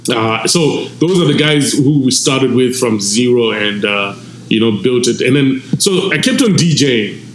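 A man from Zimbabwe whose first language is Shona, a DJ telling his story in a casual, animated way with laughs and shout-outs, in a restaurant lounge. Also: medium pitch, a little slow, roomy sound, slight background noise, poor audio. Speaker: DJ guest.

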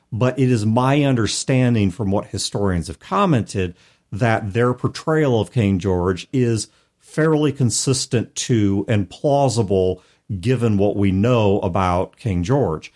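Lower-pitched American man, very animated intonation. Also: unhurried. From United States. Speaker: podcast co-host. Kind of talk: conversational discussion of role-playing games.

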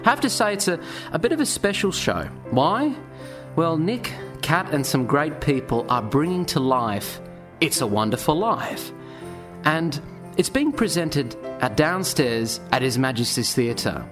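A man's voice: a little high, very animated, a little slow, music in the background. A man hosting a podcast, introducing guests and chatting with them in an interview style.

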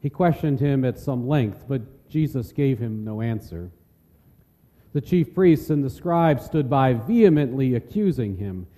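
A man narrating scripture in a measured, solemn way as part of a dramatic reading. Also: mid-range voice, lively intonation, warm tone. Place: large reverberant church. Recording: long church hall reverb.

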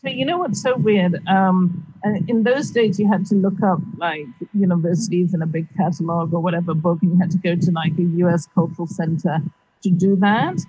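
Middle-aged woman from kenya on a video call, husky voice, lots of ums and little laughs, dry dull sound. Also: lowish voice, steady tone, dark tone, no room sound. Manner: thoughtful, halting with many fillers, laughs often. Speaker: interviewee, university teacher. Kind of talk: casual interview over video call.

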